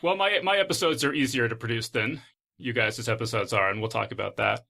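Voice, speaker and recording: A man's voice: mid-range voice, brisk, creaky, bright, forceful tone. A man hosting a podcast, speaking in a conversational interviewing style. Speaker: podcast host.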